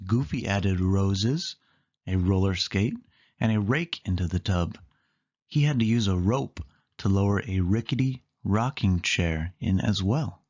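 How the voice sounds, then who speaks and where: Animated children's storyteller, lively pitch. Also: measured pace, lo-fi sound. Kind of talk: children's story read-aloud.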